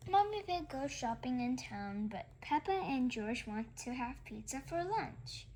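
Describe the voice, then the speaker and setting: A woman's voice: sits high, big pitch swings, a little slow, darkish tone, slight background noise. A woman reading a children's story aloud as a narrator. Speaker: storybook reader.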